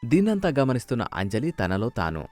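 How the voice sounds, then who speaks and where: A male narrator tells a scripted moral story with a very animated, swooping delivery. His mid-pitched voice sits over soft background music. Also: fairly quick, no room sound.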